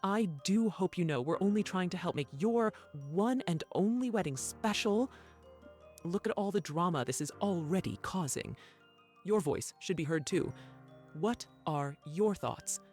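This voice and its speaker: A woman's voice: on the low side, expressive, measured pace, a little mellow, faint music behind. A woman voicing a smug, manipulative woman with a formal, sweetly persuasive way of talking in a theatrical fantasy recap. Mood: coaxing, smug.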